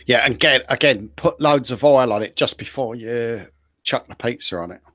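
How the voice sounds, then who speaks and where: A man's voice: mid-range voice, normal speed, sharp tone, no echo, studio quality. A man casually giving practical tips as a show panelist.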